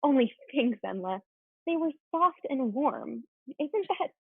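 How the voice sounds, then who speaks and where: Thin, dry, phone-like audio of a girlish schoolgirl in a period play, acted by a woman. The voice sits fairly high with some rasp. The manner is opinionated and scornful. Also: big pitch swings, a little slow, no room sound, heavily acted. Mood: scornful, gossipy. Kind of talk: dramatic reading of a period play.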